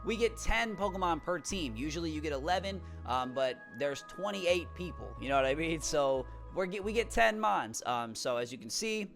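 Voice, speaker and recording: A young man vlogging on a headset in a small room at home, talking about his draft league in a hyped, excitable way and saying he is losing his voice. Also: fairly high pitch, moderate pace, creaky, few pauses, soft background music. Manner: hyped, shout-out heavy, repeats words when excited. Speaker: YouTuber and draft league coach. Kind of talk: energetic casual vlog talk.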